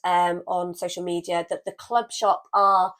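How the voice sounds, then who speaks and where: A woman's voice: on the low side, flat delivery, fairly bright tone. A woman co-hosting a casual podcast, chatty and upbeat. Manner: chatty, upbeat, quick to laugh. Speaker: podcast co-host.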